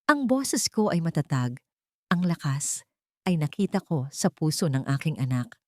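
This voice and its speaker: A woman reading a scripted first-person sentimental story in a measured, dramatic storytelling manner. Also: low voice, very animated, no room sound, clean studio sound.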